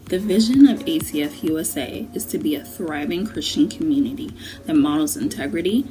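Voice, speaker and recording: A young woman from Maryland with a notably low voice speaks in a measured, earnest tone. Soft music runs faintly in the background. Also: expressive, rough recording. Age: young adult. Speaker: fellowship secretary. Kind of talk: reading a statement and personal testimonial.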